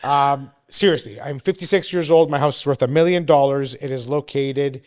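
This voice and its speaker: A man's voice: medium pitch, normal speed. Upbeat man in his forties hosting. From Canada. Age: 40s. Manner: energetic, asks questions, repeats himself. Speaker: podcast host. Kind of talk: casual podcast interview.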